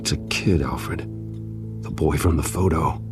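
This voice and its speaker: An actor playing a grim, protective masked vigilante detective in a video game, speaking tersely in a gravelly growl. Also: male voice, a bass voice. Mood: serious. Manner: terse, deliberate. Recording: music behind the voice.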